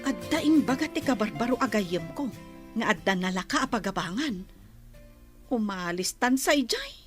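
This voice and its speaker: An actress playing a stubborn, carefree gambling wife in an Ilocano radio drama. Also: female voice, mid-range voice, very animated, moderate pace, music in the background, close to an everyday voice. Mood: curious, scheming.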